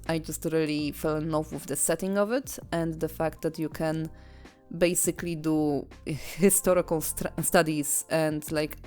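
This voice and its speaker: Low-voiced young woman, hesitant. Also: a little mellow. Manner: hesitant, self-correcting. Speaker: guest panelist. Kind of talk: casual chat. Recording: faint music behind.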